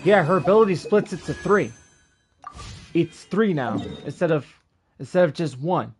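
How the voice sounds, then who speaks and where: Male game streamer, slightly high voice, tired, slangy casual commentary over faint music. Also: lively intonation, normal speed, a little mellow. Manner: slangy, mild swearing, muttering asides. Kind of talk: casual livestream gameplay commentary.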